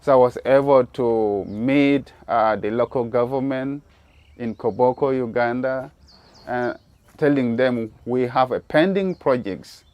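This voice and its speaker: A man giving slow, halting, heartfelt documentary testimony about his water project. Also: medium pitch, no echo, dull audio.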